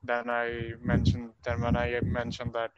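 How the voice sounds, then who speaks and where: A man's voice: medium pitch, completely flat delivery, unhurried, a crowd in the background. A man from Pakistan with a Pakistani accent, a student speaking hesitantly with frequent restarts on a video call. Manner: hesitant, repeats and restarts a lot. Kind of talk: casual class chat. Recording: muffled call with loud noise.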